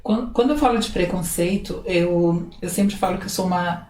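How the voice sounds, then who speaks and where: A woman speaking thoughtfully and calmly as an interview guest. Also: lowish voice, moderate pace, roomy sound, far from the mic, a little hiss or hum. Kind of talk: conversational interview.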